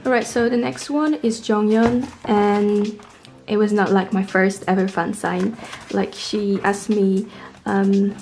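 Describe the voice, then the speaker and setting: Mellow young woman chatting, vlog style. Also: medium pitch, steady tone, slow. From Poland. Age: young adult. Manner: chatty, informal. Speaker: vlogger. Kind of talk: casual storytelling to camera.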